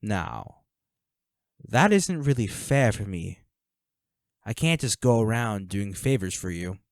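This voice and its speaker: A man's voice: on the low side, big pitch swings, slow pace, no echo, clean studio sound, exaggerated voice. A man playing a flirty, smug villain henchman in a roleplay audio drama, taunting a captive in a teasing, coolly controlling manner. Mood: smug, bargaining.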